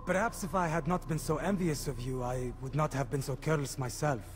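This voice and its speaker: A man's voice: a little high, brisk, a little hiss or hum, close to an everyday voice. A man playing a candid, proud assassin comrade in a video game, speaking formally and pointedly with music behind him. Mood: earnest, forgiving. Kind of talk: dramatic video game dialogue.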